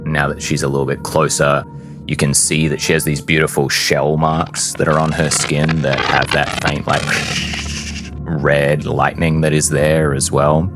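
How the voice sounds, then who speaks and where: A man's voice: very deep voice, little pitch movement, a little slow, music in the background. A man narrating a fantasy tabletop game as game master, in a descriptive storytelling style.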